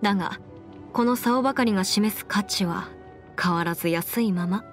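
A woman's voice: medium pitch, moderate pace, close to an everyday voice. A woman voicing a confident, clever young female legal advisor in an anime game, articulate and slightly boastful, with playful pride. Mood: explaining. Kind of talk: Japanese anime game character voice lines. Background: music.

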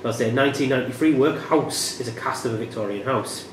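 A man with a mid-range voice reads quiz questions deliberately. He sounds like a pub quiz host in a reverberant room, with some noise around him. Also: mid-range voice. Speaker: quiz master.

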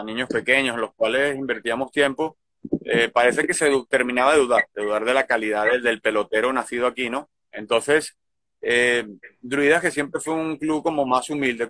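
Venezuelan man with a bright voice, answering outdoors. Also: mid-range voice, fairly quick, no room sound. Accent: Venezuelan mixed with Galician Spanish. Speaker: baseball manager, guest. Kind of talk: casual interview answers. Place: outdoors by a field.

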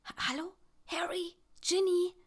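Woman acting a hushed, anxious young heroine. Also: extremely high pitch, flat delivery, rapid-fire, voice pushed up. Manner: whispery, breathy, pleading.

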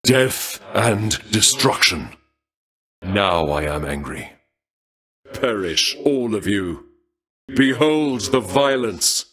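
A bloodthirsty war god with a grim, growling voice. He delivers slowly, his pitch rising and falling with menace. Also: expressive, fairly bright tone. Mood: menacing. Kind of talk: video game voice lines.

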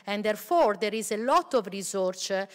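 A woman's voice: mid-range voice, lively intonation, normal speed. A middle-aged woman researcher on a panel, explaining her field with many fillers and hesitations, speaking into a table mic in a large lecture hall. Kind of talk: panel discussion answer. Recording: long hall reverb.

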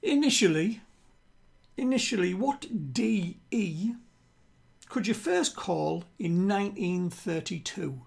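An older British man hosting a quiz, speaking in a deliberate way and repeating himself. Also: high-pitched, animated, vocal fry, slightly rough. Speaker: quiz host. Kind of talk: reading quiz questions to camera. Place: small room at home with bookshelves.

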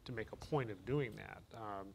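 A man's voice: fairly low pitch, moderate pace, vocal fry, darkish tone, a little hiss or hum. A middle-aged man moderating a panel, asking conversational questions that build on what the guests have said. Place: stage of a conference panel.

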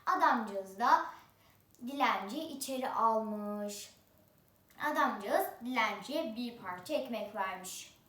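A woman's voice: fairly high pitch, expressive, slow, a bit bright, far from the mic. A female storyteller telling a folk tale expressively and theatrically, stretching and repeating words. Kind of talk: oral folk-tale storytelling with rhyming opening. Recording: roomy echo, away from the mic.

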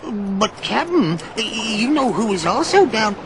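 An actor playing a timid, servile pirate first mate in a video game, hesitant and deferential. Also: male voice, sits high, sing-song, unhurried, background noise, full character voice. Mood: anxious.

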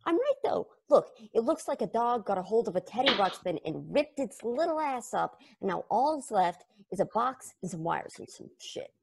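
A brash, foul-mouthed space probe that insults and clowns around, read by a woman on a video call. The voice is raspy and gravelly, and it jumps all over in pitch. It sounds like an amateur webcam table read. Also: big pitch swings, moderate pace, hardly any pauses, full character voice. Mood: mocking. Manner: crude, mocking, sarcastic.

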